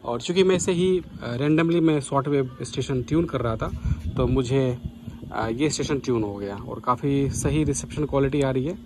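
A man's voice: mid-range voice, normal speed, background noise. A man giving casual vlog commentary as a radio hobbyist.

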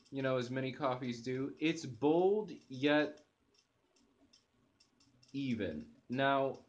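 Slow-talking young man reviewing coffee. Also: mid-range voice, highs cut off. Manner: enthusiastic, hesitant restarts and fillers. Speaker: channel host reviewing coffee. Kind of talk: casual product review to camera. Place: living room, on a sofa indoors. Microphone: webcam at close range.

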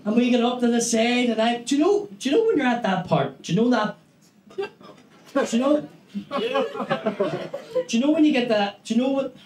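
A man in his thirties with a Northern Irish accent, doing a stand-up set in a small club, animated and swearing as he tells a story. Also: very high voice, a little fast, long pauses, a crowd in the background. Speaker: stand-up comedian. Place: small comedy club stage with brick wall. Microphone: handheld stage mic. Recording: echoey club with loud audience laughter. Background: audience laughter.

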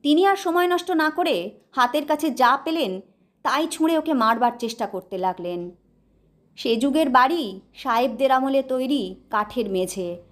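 A woman narrating a suspenseful ghost story from a script, expressively and with deliberate pauses. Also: high-pitched, animated.

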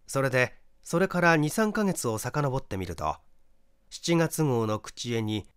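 A man reading a mystery story as scripted narration, in a measured, expressive storytelling manner. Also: mid-range voice, no room sound, studio quality. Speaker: audiobook narrator.

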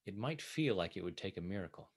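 A man with a low-pitched voice leads guided affirmations as a coach. He speaks deliberately, setting out one short phrase at a time so that each can be repeated. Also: lively intonation, slightly rough. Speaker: tapping coach leading affirmations.

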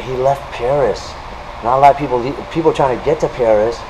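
A man's voice: medium pitch, a little fast, background noise. A young man hosting and interviewing a fighter in a large indoor gym, hyping him up with enthusiasm. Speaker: interviewer and show host. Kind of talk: casual interview.

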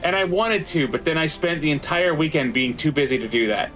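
A man's voice: a little high, animated, fairly quick, fairly bright tone, soft background music. A man doing casual live commentary and banter for an online fighting game tournament.

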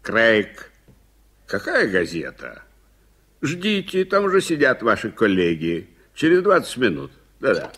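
An actor in a Soviet radio play playing an irritable, impatient middle-aged gentleman, brusque and commanding. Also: on the low side, wildly swooping pitch, measured pace, slight background noise, full character voice. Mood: irritated, impatient. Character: irritable, impatient gentleman suspect, uncle of a nephew.

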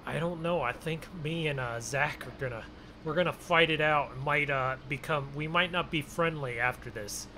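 A man's voice: on the high side, moderate pace, pushed and bright, a little hiss or hum. A man giving casual, folksy role-play commentary as host of a farming game video, often exclaiming as he goes. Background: game vehicle and ambience sounds.

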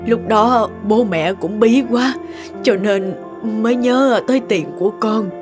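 Music plays clearly behind a cartoon mother acted by a woman. Her voice sits on the high side. She is gentle and sorrowful, explaining earnestly and apologetically. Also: measured pace, lightly acted. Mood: regretful. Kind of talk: Vietnamese cartoon voice acting.

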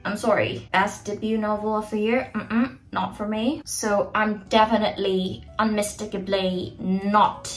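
A woman's voice: mid-range voice, steady tone, unhurried, hardly any pauses, faint music behind, rough recording. A young woman in her twenties whose first language is Indonesian, chatting to viewers in an opinionated book review vlog from her bedroom. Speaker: book vlogger. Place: bedroom in front of a bookshelf, indoors.

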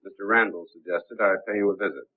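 An actor playing a cool, suave gentleman sleuth in a 1930s film, speaking smoothly and politely on a tinny soundtrack. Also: low voice, fairly even intonation, quick pace. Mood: cool, courteous.

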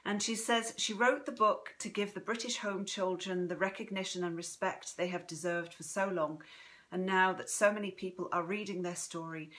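A woman in her forties hosts a casual livestream from home, talking into a propped-up phone. Her voice sits a little low but has a bright, forward edge, with a slight throaty strain. She chats effusively and greets her viewers one by one. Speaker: author hosting a live book reading. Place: home room, indoors. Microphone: phone propped in front of her.

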